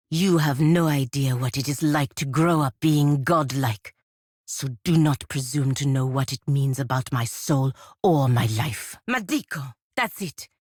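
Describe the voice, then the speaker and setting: Game role: proud godlike female warrior, low register, blunt and disdainful, very dry close recording. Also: no room sound. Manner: disdainful, sardonic, clipped and cutting. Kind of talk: video game character dialogue.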